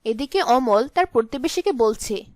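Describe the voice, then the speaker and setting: Lady narrating a fairy tale, high voice, scripted storytelling, dry recording. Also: lively intonation, normal speed, darkish tone, dry recording.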